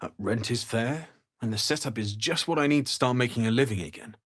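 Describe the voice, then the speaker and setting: Man acting a husky, gentle fantasy blacksmith. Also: medium pitch, a little fast, lightly acted. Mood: earnest, helpful. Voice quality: husky, breathy. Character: kindly, earnest young male infernal blacksmith from a fantasy world. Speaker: blacksmith and trader. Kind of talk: video game character dialogue.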